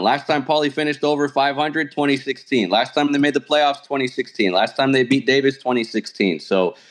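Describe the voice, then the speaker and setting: A man's voice: medium pitch, poor audio. A man who works as a sports announcer, talking casually and analytically as an interview guest. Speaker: radio play-by-play sports announcer, podcast guest.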